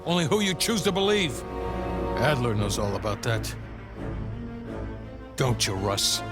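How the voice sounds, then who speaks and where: A deliberate, evasive agency official. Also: male voice, mid-range voice, big pitch swings, loud music behind the voice. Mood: defensive, cold. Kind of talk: cinematic spy game acting.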